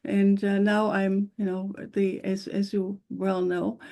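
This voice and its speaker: An older woman from Germany speaks with a German accent. Her voice is warm and mellow at a middle pitch. She tells personal stories in a reflective, conversational way. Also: completely flat delivery, takes it slowly. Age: 60s or older. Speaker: interview guest, academy founder.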